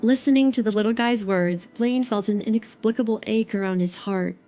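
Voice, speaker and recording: Mellow female audio drama narrator. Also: on the high side, a little fast, highs cut off, faint music behind.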